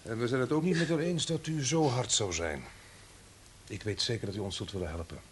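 An actor playing a coolly menacing, polite blackmailer in an old Dutch radio play with faint noise. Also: male voice, medium pitch, a little fast, lightly acted. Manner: suave, commanding, precise.

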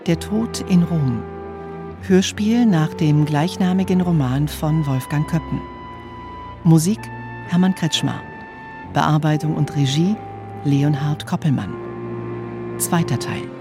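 Slow, higher-voiced male announcer over music. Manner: formal, announcing. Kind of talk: scripted radio programme credits.

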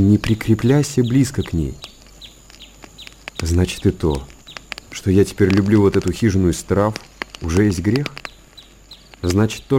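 An actor playing an aging, world-weary hermit monk in a radio monologue, speaking in a measured, pensive and solemn way. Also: male voice, lowish voice, dark tone, slight background noise, lightly acted. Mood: contemplative.

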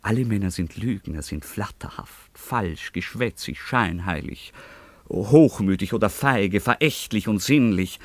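A man's voice: lowish voice, animated, a little slow, no room sound. An actor playing a proud, passionate, lovestruck young nobleman in a German radio drama, declaiming earnestly. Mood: bitter, intense. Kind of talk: German radio play acting of a classical drama.

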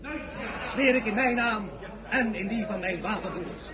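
An actor playing an embattled, defiant general who addresses parliament in grandiose, declamatory phrases in an audio drama. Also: male voice, high voice, close to an everyday voice, low-quality recording. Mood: grandiose, resolute. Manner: declamatory, halting public oratory. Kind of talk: theatrical political speech in audio drama. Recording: loud crowd clamour behind.

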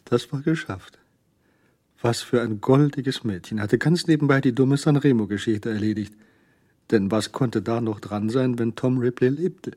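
An actor narrating a crime radio drama in a measured, brooding manner. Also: male voice, medium pitch, warm tone, lightly acted. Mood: reflective.

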